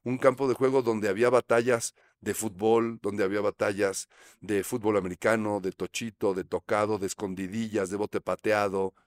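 A Mexican man in his fifties, a guest on a studio interview, telling stories in a relaxed, reflective way, speaking into a close studio microphone. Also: on the low side, moderate pace, no room sound. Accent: Mexican Spanish. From Mexico City. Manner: reflective, gestures while talking, frequent fillers. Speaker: actor guest. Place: small studio set. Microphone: studio mic on boom arm.

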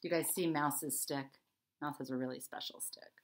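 A woman's voice: low-pitched, steady tone, moderate pace. A woman in her forties, a children's author, reading expressively and explaining things simply and directly to young listeners at home. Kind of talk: expressive read-aloud and chat for young children. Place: small furnished room at home, indoors.

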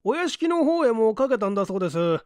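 A man's voice: sits high, very animated, quick pace, a little mellow, smooth, dry recording, studio quality, pitched above the performer's own voice. A man voicing a deferential middle-aged night-duty clerk in a story reading, politely reporting. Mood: dutiful. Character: deferential male night-duty office clerk, around fifty.

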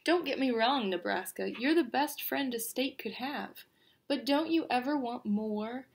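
A woman's voice: high voice, animated, a little hiss or hum. A woman voicing a bored, restless male storybook character who complains and pleads in a moaning, earnest way. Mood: yearning. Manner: moaning, drawn-out complaints turning to eager pleading. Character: bored, restless, glum male cartoon state, Kansas.

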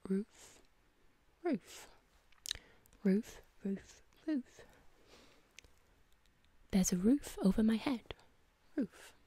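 A young woman with a Mancunian accent, whispering soft-spoken ASMR and slowly repeating single words close to the mic. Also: mid-range voice, animated, husky. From England. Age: young adult. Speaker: ASMR creator. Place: indoor studio with plain backdrop.

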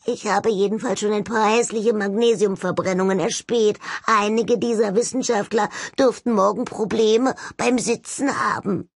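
A woman's voice: medium pitch, fairly even intonation, normal speed, creaky, few pauses, dry recording, studio quality, voice pushed up. Woman voicing a sardonic, gleefully morbid ghost skull. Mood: dryly sarcastic. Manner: mocking, theatrical drawl.

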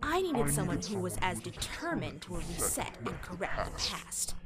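A woman's voice: lowish voice, sing-song. A woman playing a sinister, grandiose, contemptuous villain, the split-off half of a monster scientist, in a dramatic comic dub. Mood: scornful, commanding. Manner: grandiose, contemptuous, drawn-out theatrical delivery. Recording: loud music over the voice.

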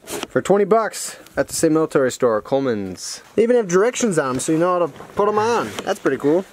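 A man's voice: on the high side, sing-song, quick pace. A young man chatting casually and slangily as a vlogger, laid-back, recorded outdoors on a handheld camera. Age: young adult. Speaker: vlogger, show host. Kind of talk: casual vlog chat. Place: outdoors in a dirt yard by garages. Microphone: handheld camera at arm's length. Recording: noisy outdoor camera audio with vehicle noise.

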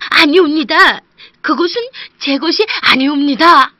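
A woman's voice: sits high, measured pace, pushed and bright, no echo, voice pushed up. A woman doing a teary humble woodcutter. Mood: pleading. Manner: pleading, teary and polite. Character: poor honest young male woodcutter, humble. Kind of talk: storybook character voice.

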